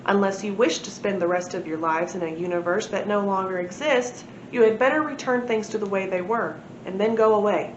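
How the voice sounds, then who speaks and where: A woman's voice: medium pitch, brisk, hardly any pauses, reverberant, muffled recording, slight background noise, lightly acted. Woman playing a confident tall-tale dad. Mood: firm. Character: father narrator, calm, bluffing tall-tale hero.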